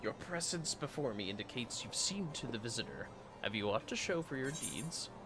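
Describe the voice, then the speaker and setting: A man's voice: mid-range voice, lively intonation, moderate pace, over music, lightly acted. A man voicing a solemn, aging retired knight, reading fantasy game dialogue in grave, formal, archaic phrasing.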